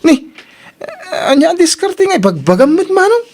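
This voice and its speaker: A man's voice: very high voice, big pitch swings, normal speed, darkish tone, full character voice. A man playing an eager, bashful young suitor in a romantic drama, hesitant and flustered, with an Ilocano accent. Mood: flustered protest.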